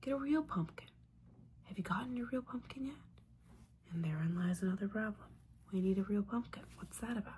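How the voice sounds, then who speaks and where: A woman's voice: mid-range voice, a little fast, a little mellow, a little hiss or hum, lightly acted. A woman playing a disappointed, sarcastic witch mentor in an ASMR roleplay, whispering breathily in a casual, sweary, scolding manner.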